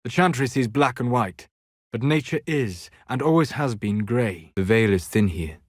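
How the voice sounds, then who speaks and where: Man acting as composed elven mage, grave, judgmental, measured formal diction, video game dialogue, dry close sound. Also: medium pitch, animated, no room sound, close to an everyday voice. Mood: earnest.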